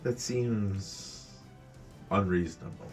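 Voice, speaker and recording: A man's voice: low voice, slow, darkish tone, faint music behind. A man playing a tabletop roleplaying game, chatting casually and joking around.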